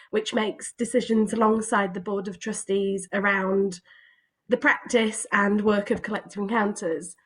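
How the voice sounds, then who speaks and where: A woman hosting an online event on a video call, slightly hoarse with a cough, hesitant with many ums. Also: medium pitch. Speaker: event host.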